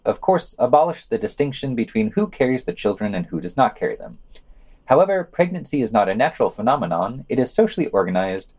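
A man's voice: mid-range voice, a little mellow. A man co-hosting a podcast, reading a scripted news round-up in a wry, sardonic way. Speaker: podcast co-host.

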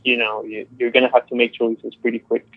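A man in a casual analytical discussion, speaking in halting, hesitant phrases. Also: medium pitch, level tone, dry recording, narrow, tinny audio. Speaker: podcast discussion participant.

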